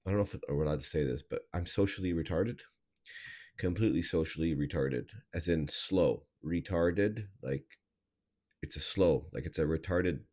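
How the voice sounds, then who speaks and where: A man talking in a halting, casual confessional monologue, his voice raspy and nasal from a hangover, with many fillers and restarts. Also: sits low, warm tone. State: hoarse, nasal from a weed hangover. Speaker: vlogger.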